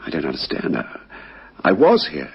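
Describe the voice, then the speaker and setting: Cornered radio-drama suspect: very raspy, stammering, pitch swinging with nerves. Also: male voice, huge pitch swings, moderate pace, exaggerated voice. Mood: flustered denial. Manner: stammering, flustered, defensive. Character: nervous, guilty male suspect under police questioning. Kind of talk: old radio crime drama acting. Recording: muffled vintage radio recording.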